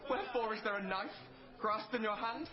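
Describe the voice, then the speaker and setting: An actor playing an innocent young son in a biblical stage play, declaiming formally within a radio drama. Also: male voice, high-pitched, brisk, natural voice. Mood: stagey innocence. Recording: dull recording, crowd behind.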